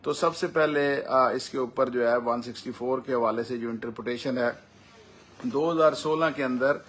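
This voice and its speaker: A man's voice: medium pitch, normal speed, a bit bright, breathy edge. Pakistani man explaining in lecture style. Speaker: lawyer giving a legal explainer. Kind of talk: explanatory lecture, semi-formal.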